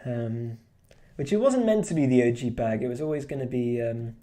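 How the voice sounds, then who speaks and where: Rambling man with a mellow voice. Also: medium pitch, expressive, moderate pace. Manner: rambling, many fillers and restarts, chuckling. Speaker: streamer and artist. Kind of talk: casual chat while drawing on stream.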